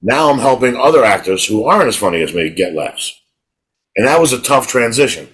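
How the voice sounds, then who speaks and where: A man's voice: medium pitch, lively intonation, fairly quick, a bit bright, husky. A middle-aged man co-hosting a podcast in casual chat, telling rambling stories and restarting his words. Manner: rambling storyteller, repeats words when starting. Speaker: podcast co-host.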